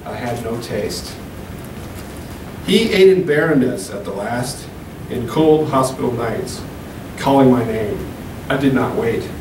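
A man in his thirties reading poems at an open mic into a handheld mic, slow and deliberate, with casual asides between poems. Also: mid-range voice, lively intonation. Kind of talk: poetry reading. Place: small room at a podium. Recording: echoey room, camera from far off, hum.

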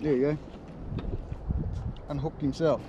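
Laid-back Australian bloke fishing from a kayak. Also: male voice, a little high, unhurried, muffled recording, background noise. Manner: relaxed, mutters to himself, laid-back slang. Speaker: fishing vlogger. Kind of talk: casual running commentary while fishing. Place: on a kayak out at sea, outdoors. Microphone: action camera mounted on the kayak. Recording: music playing under the voice. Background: music over the talk.

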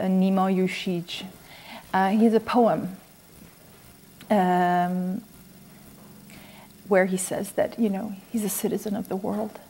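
Mellow-voiced woman from Iran, thoughtful and halting. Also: medium pitch. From Iran, raised in Sweden. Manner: halting, thoughtful, pausing between phrases. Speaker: interview guest, children's author and former academic. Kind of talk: unscripted interview answers. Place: quiet room in a house.

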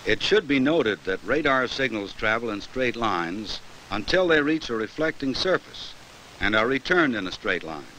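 A man with an American accent narrates a vintage instructional film in a bright, crisp-edged voice. His delivery is formal and measured, at an ordinary male pitch. A faint hiss from the old 1960s soundtrack runs under him. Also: sing-song, rough recording.

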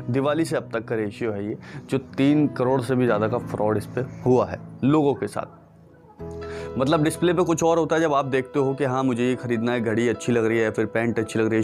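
A young man in his twenties hosting a tech channel, talking earnestly and repetitively straight to the camera in a dark room, calling his viewers friends and brother. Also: mid-range voice. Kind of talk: direct-to-camera awareness vlog.

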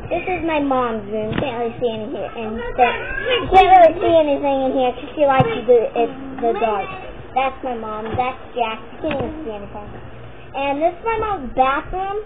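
A young girl giving a rambling, chatty tour of her family home, filmed on a handheld phone. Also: high-pitched. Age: child. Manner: chatty, rambling, restarts sentences. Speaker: vlogger giving a house tour. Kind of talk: casual house tour. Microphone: handheld phone camera. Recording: tinny phone audio with loud noise.

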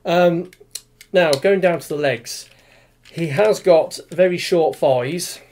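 Chatty toy reviewer in his forties, a touch high-pitched, meandering and full of fillers, recorded on a webcam at home. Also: slow, darkish tone, a little hiss or hum. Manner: rambling, many fillers and restarts. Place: small room with display cabinet, indoors.

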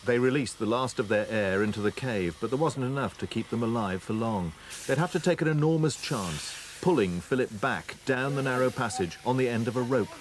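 A man's voice: medium pitch, brisk, hardly any pauses, slight background noise. A man reading scripted, dramatic documentary narration.

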